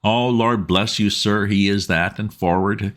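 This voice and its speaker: A man's voice: on the low side, steady tone, measured pace, a bit bright, voice pushed up. A man voicing a stout, red-faced, working-class boatman's wife who rambles anxiously in homely dialect.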